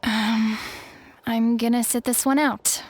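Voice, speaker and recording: A woman's voice: on the high side, fairly even intonation, slow, slight background noise. A woman playing a self-doubting, resigned young woman who speaks hesitantly, in an English game dub.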